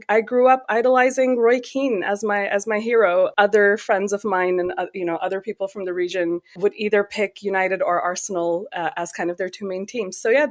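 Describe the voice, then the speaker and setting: A middle-aged woman with an American accent, a researcher guest who speaks in a measured, articulate way with frequent "um"s. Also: medium pitch. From Pakistan. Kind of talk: podcast interview.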